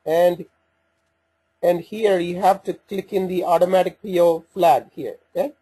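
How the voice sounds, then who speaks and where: A man with a soft, mellow tone and a somewhat raspy edge walks through software as a demonstrator. His pitch sits a little above average. He explains each step informally and haltingly, thinking aloud as he operates the screen. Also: fairly even intonation. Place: voice-over for a screen recording.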